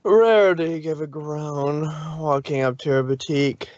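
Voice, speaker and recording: Male narrator, plain steady read, dry, muffled mic. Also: on the high side, a little slow, no echo. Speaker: reader. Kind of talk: fanfic reading narration.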